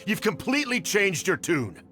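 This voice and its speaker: A man's voice: sits high, very animated, normal speed, sharp tone, heavily acted. A man playing a scheming, cowardly older clan official in a video game, obsequious and easily flustered. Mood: indignant. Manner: flustered, wheedling, indignant. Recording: game music behind the voice.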